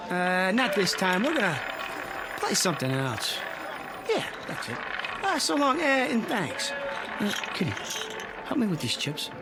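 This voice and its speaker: An actor playing a brash, cocky, excitable gambler who talks in boastful, bossy slang. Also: male voice, high-pitched, measured pace, pauses often, heavily acted. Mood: eager. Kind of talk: dramatic TV acting. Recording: vintage TV soundtrack with loud music.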